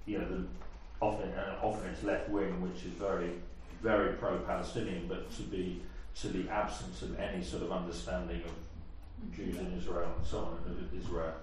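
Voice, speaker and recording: A man's voice: deep voice, flat delivery, moderate pace, few pauses. A man in an audience thinking aloud, rambling and hesitant with many fillers. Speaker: audience member asking a question. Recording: echoey room from far off, faint noise.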